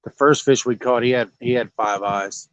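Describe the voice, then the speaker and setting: A man's voice: medium pitch, normal speed, clean tone, dry recording. A man, joking and chatting casually while night fishing on a lake, coaxing the fish aloud. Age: 40s. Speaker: fishing vlog host.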